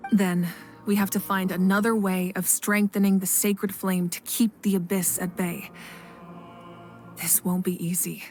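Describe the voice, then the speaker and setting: A woman playing a calm fire goddess leader. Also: mid-range voice, soft background music, lightly acted. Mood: composed. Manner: measured, patient, reasoning. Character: calm, authoritative female fire goddess ruler. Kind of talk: game voice acting.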